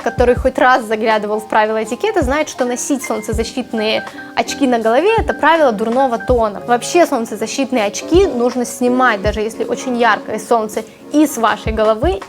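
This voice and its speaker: Higher-pitched woman, native Ukrainian speaker. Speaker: journalist giving career advice to viewers. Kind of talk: explanatory advice vlog to camera.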